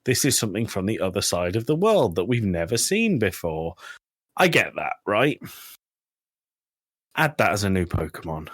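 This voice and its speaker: A man's voice: fairly low pitch, sing-song, normal speed, pauses often, slightly rough, dry recording, studio quality. A man hosting a podcast in casual chat, with teasing, sweary banter. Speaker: podcast host.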